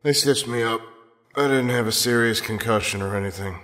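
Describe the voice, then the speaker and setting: A man's voice: mid-range voice, fairly quick, a bit bright, lightly acted. An actor playing a battered, worried male captive in an audio drama, sounding weary and urgent. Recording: long hall-like reverb.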